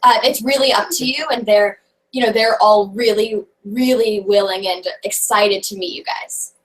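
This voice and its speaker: A woman's voice: mid-range voice, steady tone, moderate pace, rough recording. A young woman on a student video call, earnest and reassuring. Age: young adult. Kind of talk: casual video call chat.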